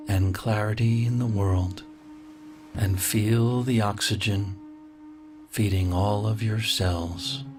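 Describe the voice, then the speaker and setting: A man guiding a sleep meditation, giving slow, soothing, gently paced instructions. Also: fairly low pitch. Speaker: meditation guide. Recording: soft music clearly behind the voice.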